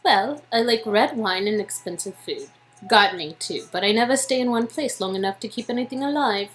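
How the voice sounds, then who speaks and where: Woman playing a blunt, high-voiced undercover agent. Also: brisk, fairly bright tone, a little hiss or hum, natural voice, rough recording. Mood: cool.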